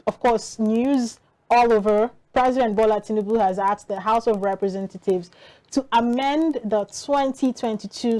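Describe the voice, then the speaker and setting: A woman's voice: on the high side, a little mellow, low-quality recording. A woman with a Nigerian accent, reading news and interviewing formally as a morning television host.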